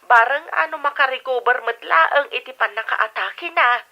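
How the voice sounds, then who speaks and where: A woman's voice: high-pitched, normal speed. A woman with an Ilocano accent playing a kindly, reassuring middle-aged aunt over a telephone in an audio drama. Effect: telephone filter. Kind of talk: radio drama acting. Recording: dull band-limited phone effect.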